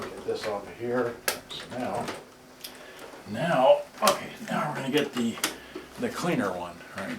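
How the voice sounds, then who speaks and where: A man giving a casual, unscripted demonstration, talking himself through it step by step in a halting way. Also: lowish voice, background noise. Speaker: repairer demonstrating serviced cassette players. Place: indoors in a house. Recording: roomy, distant mic, loud music behind.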